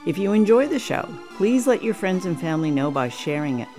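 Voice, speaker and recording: A woman's voice: lowish voice, very animated, brisk, over music. A woman hosting a podcast and interviewing a guest, effusive and full of praise. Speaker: podcast host and producer. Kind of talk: casual interview.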